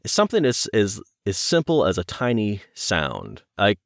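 Low-voiced man, halting casual talk. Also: huge pitch swings, dry recording, clean studio sound. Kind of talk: casual interview chat.

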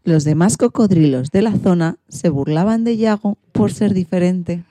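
A woman's voice: fairly low pitch, moderate pace, warm tone, dry recording. A woman presenting a radio show and narrating a story for children.

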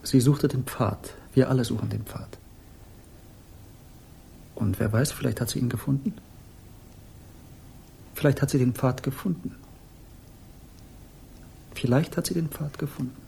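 An actor playing an aloof, dreamy hippie guru in a radio crime drama, speaking in cryptic, solemn pronouncements. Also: male voice, mid-range voice, creaky, warm tone, slight background noise. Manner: slow, cryptic, repetitive pronouncements. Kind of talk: 1960s German radio crime drama acting.

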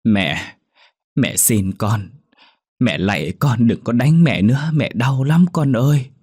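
A man's voice: medium pitch, animated, measured pace, pitched above the performer's own voice. A man voicing a frail woman near ninety who begs her daughter with a quavering, sobbing voice. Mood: pleading.

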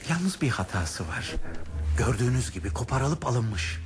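Nazik, kaygılı yaşlı bir malikane sahibi. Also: male voice, mid-range voice, very animated, a little slow. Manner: polite, formal, hesitant. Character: older male aristocrat, courteous and worried. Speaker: radio drama actor. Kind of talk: 1970s radio drama acting. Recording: radio drama with music behind.

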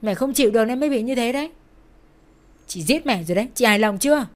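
A woman voicing a scornful, angry younger brother in a tragic story, shouting accusations. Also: a little high, animated, fast talker, voice pushed up, lightly acted. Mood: bitter, accusing.

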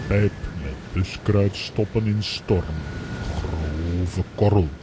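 A man's voice: deep voice, drawn-out words. A man acting a simple, good-natured strongman who talks in clipped, telegraphic phrases in a children's audio drama. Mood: blunt, unbothered. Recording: clearly noisy background ambience.

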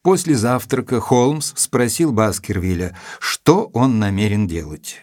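An actor playing a composed gentleman doctor who narrates a detective story in a literary manner. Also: on the low side, expressive, measured pace, no room sound, studio quality. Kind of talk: scripted narration.

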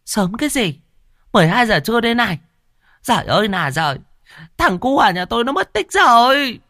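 A man voicing a stout, frantic village woman who wails and cries in a shrill, shouting voice. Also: sing-song, fairly quick, no echo, studio quality, heavily acted. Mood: indignant. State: crying.

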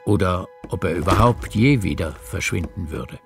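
A man narrating a literary radio play in a measured storytelling manner. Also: low voice, expressive, vocal fry, soft background music.

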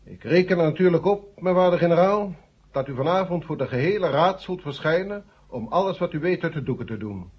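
A man's voice: on the high side, animated, brisk, husky. An actor playing a stern, indignant space agency chairman in a 1970 radio drama, speaking formally and pompously. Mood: grudging, resigned. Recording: dull, muffled old radio recording.

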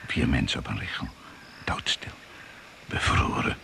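Male radio play narrator: extremely low, creaky, suspenseful and deliberate, noisy recording with animal sounds. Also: lively intonation. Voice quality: gravelly.

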